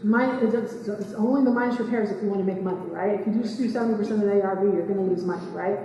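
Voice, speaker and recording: A woman, giving a quick, chatty seminar talk and asking the room questions. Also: medium pitch, very echoey, long reverb tail, recorded from a distance, rough recording. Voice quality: soft voice. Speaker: real estate investing speaker. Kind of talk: live seminar talk to a small audience. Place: meeting room, indoors. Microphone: far camera.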